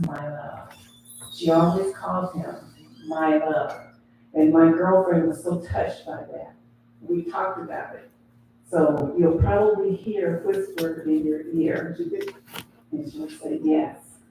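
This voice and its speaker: Man giving a family eulogy, heartfelt and soft; recorded from afar in a reverberant funeral chapel. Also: a little high, slight background noise. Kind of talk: memorial tribute speech. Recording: very echoey hall, distant mic.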